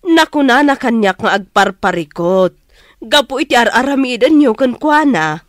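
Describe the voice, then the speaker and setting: An actress playing a calm, wise middle-aged aunt who advises gently but firmly in a Filipino radio drama. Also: female voice, fairly high pitch, normal speed, no echo, studio quality, natural voice. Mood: firm, pleading.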